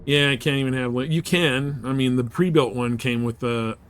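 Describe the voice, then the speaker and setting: A man livestreaming a game, chatting casually in rambling, halting thoughts as he plays. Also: medium pitch, steady tone. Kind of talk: casual chat while playing. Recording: noticeable background noise and game sounds. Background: game ambience and ship computer announcements.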